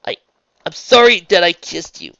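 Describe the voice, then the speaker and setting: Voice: mid-range voice, expressive, unhurried, dry recording, dull audio, pitched above the performer's own voice. A narrator voicing a guilt-ridden young female pegasus in a storybook, who blurts and stammers. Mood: awkward, hopeful.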